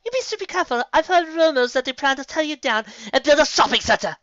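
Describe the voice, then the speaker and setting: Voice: high-pitched, animated, fast, fairly bright tone, no echo, muffled recording, voice pushed up. A storyteller voicing a refined, haughty female unicorn who is prim, poised and mock-polite. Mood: mock politeness. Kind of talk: fan fiction story character voice.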